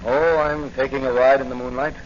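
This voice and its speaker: An actor playing a confident, brisk patrolman and secret masked crime-fighter in a 1940s radio drama, speaking with an inquisitive air. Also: mid-range voice, level tone, close to an everyday voice. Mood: easygoing, curious. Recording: dull, noisy 1940 radio recording.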